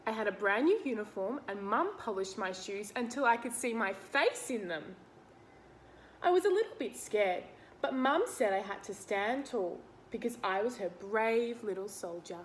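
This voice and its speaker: A woman's voice: medium pitch, lively intonation, normal speed, slight background noise. An Australian woman reading a picture book aloud to young children, expressively, talking to them directly with simple explanations and questions.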